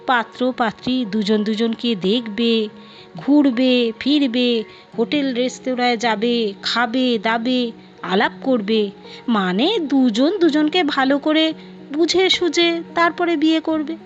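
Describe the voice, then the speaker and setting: A woman's voice: on the high side, slow pace. An indulgent middle-aged mother, put on by a woman narrator gives practical advice. It is storytelling dialogue, slightly dull in sound, with faint music underneath.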